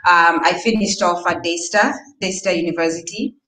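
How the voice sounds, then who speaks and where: A woman from Kenya in her thirties, a company founder answering interview questions briskly over a video call. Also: lowish voice, sharp tone, reverberant, rough recording. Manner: brisk, matter-of-fact. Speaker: podcast guest, company founder.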